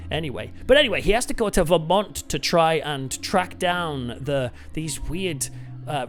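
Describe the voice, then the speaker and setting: A man with a British accent presents a casual review to his viewers. His voice sits a little high, and he speaks with informal, emphatic enthusiasm. Music plays clearly behind him. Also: a bit bright. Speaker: host and film reviewer.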